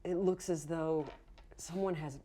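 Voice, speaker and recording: A woman game master narrating a tabletop game in an informal, halting, conversational way. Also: sits low, fairly even intonation, warm tone.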